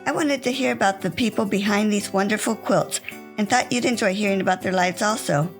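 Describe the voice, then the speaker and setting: Female podcast host, gentle and measured, with some vocal fry. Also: medium pitch, soft background music. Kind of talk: podcast interview.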